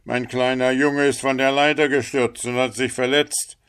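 A man's voice: medium pitch, little pitch movement, normal speed, fairly bright tone, clean tone, pitched above the performer's own voice, close to an everyday voice. A man voicing a selfish, scheming miller in a read-aloud storybook, pleading in a wheedling, self-serving way.

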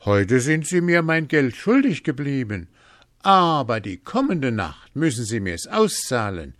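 A man voicing a brash, fearless young village lad in a fairy tale, shouting and blustering in a rustic way. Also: a little high, animated, unhurried, no echo, studio quality, voice pushed up. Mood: boastful, excited. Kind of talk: fairy-tale storybook character voice.

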